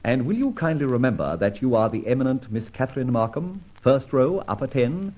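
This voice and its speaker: An actor playing a masked, mocking yet courteous gentleman abductor in an old-time radio detective drama, with a theatrical, urbane, teasing delivery. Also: mid-range voice, big pitch swings, fairly quick, muffled recording, close to an everyday voice. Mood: wry, persuasive.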